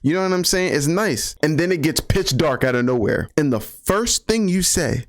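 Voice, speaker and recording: Lively higher-pitched man reacting, slangy. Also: normal speed. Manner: incredulous, joking, slangy, repeats himself for emphasis. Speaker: reaction video host. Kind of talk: casual, profane reaction commentary.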